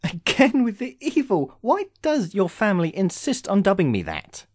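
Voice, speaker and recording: A man's voice: high-pitched, huge pitch swings, moderate pace, no room sound, exaggerated voice. A man playing an urbane immortal sorcerer in an audio drama, speaking formally with dry, sardonic impatience. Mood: mildly exasperated. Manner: wry, formal, theatrical phrasing.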